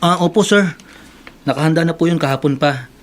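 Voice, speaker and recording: A man's voice: on the high side, fairly quick, natural voice. A Filipino man playing a humble, dutiful young assistant in a radio drama. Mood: deferential.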